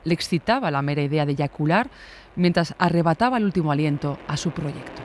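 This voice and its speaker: A man reading true-crime narration in a serious, measured tone, his voice a little high. Faint noise sits behind him. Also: expressive.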